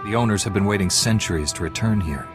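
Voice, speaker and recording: An actor playing a calm, fair-minded heroic knight in a video game, speaking in a measured, formal way. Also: male voice, lowish voice, over music, close to an everyday voice. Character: calm, noble male Jedi knight, fair-minded hero. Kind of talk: scripted video game dialogue.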